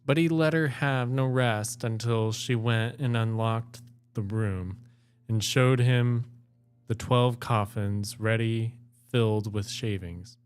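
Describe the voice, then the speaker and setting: A man's voice: medium pitch, takes it slowly, clear, resonant tone, faint music behind. A man reading fairy tales aloud as an amateur co-host, moving between storybook narration and casual chat.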